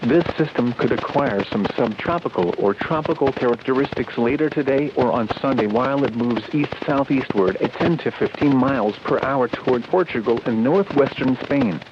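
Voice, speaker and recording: A man delivering a scripted weather forecast as a radio announcer, with a measured, impersonal synthetic delivery over a radio broadcast. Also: mid-range voice, dull audio, background noise. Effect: radio broadcast sound.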